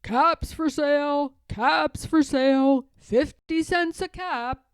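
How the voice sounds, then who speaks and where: A woman's voice: sits high, takes it slowly, no room sound, studio quality, voice pushed up. A woman voicing an exasperated, fretful male peddler from a storybook, with an emphatic, wailing delivery. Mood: calling out wares. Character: exasperated, fretful male cap peddler who loudly complains. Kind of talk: storybook character voice.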